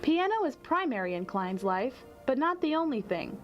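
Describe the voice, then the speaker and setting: A woman reporter reading a scripted voice-over narration in a clear, even manner. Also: sits high, normal speed, dull audio, over loud music.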